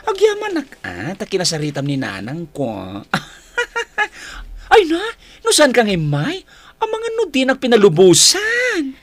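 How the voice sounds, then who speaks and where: A woman's voice: fairly high pitch, huge pitch swings, unhurried, exaggerated voice. A woman with an Ilocano accent acting a playful, giggly young woman in a radio drama. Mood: playful, relieved. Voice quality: giggly, exclaiming.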